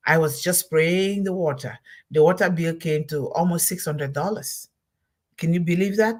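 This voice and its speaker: A woman's voice: fairly low pitch, normal speed. A middle-aged woman from Cameroon, hosting a solo motivational talk, emphatic and repeating her key words. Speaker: host of a motivational talk series.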